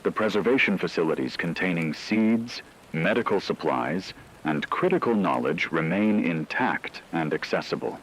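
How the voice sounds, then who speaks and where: A man playing a grave radio newsreader in an apocalypse drama, reading a scripted bulletin in a measured, formal, factual way. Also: deep voice, animated, a little hiss or hum, rough recording. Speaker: news anchor.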